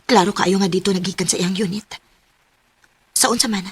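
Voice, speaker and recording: A woman's voice: mid-range voice, steady tone, fairly quick, a little hiss or hum, close to an everyday voice. A woman with a Cebuano accent voicing a loyal young best friend in a radio drama, blunt and urging. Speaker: supporting part in a radio drama.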